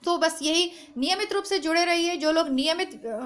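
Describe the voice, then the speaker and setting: A woman teacher with a strained, hoarse voice, chatting to her students through an exam syllabus, recorded at home without a proper mic. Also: extremely high pitch, normal speed, fairly bright tone. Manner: chatty, reassuring, addresses students directly. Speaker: Hindi exam-prep teacher. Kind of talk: informal lesson to camera. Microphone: no proper mic, phone or device built-in.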